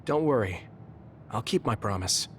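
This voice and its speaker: A man's voice: fairly low pitch, expressive, normal speed, lightly acted. A man voicing an earnest, loyal young male soldier who speaks sincerely and reassuringly. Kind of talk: game cutscene dialogue. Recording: game audio with music behind.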